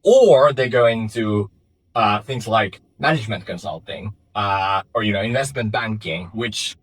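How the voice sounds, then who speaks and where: Husky low-voiced young Finnish founder. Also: male voice, unhurried, no echo, rough recording. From Finland. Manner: thoughtful, many fillers and restarts. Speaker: startup founder. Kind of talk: conversational interview answers. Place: office in Finland.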